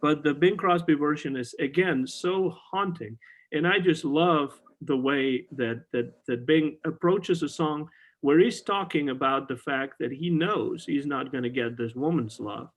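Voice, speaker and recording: A man's voice: on the high side, measured pace. A middle-aged man hosting a podcast, talking casually and enthusiastically about old songs, with many fillers and restarts. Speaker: podcast host. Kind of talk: casual conversation about music. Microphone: video call.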